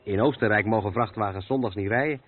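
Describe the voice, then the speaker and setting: An actor the playing a seasoned, patient truck driver who explains things matter-of-factly in a radio play. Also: male voice, on the low side, quick pace, natural voice. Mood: impatient, concerned. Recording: tinny, noisy old radio recording.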